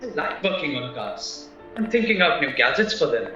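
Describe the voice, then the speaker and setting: A man's voice: on the high side, sing-song, moderate pace, bright, forceful tone. A man acting a nervous, naive young drifter in a radio play, chatty, polite and hesitant. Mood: earnest, defensive. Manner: anxious, polite, hesitant, rambling. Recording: roomy, distant, muffled, music underneath.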